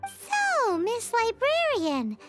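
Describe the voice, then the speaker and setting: A woman voicing a tiny, perky, blunt childlike fairy companion with a squeaky voice in a video game. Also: wildly swooping pitch, extremely slow, full character voice. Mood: cheeky, curious. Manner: refers to self in third person, exclamatory. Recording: music behind the voice.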